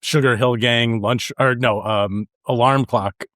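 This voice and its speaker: A man's voice: medium pitch, steady tone, a little slow, a bit bright, no echo. Middle-aged man chatting, dry close sound. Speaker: podcast co-host. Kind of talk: casual chat about music.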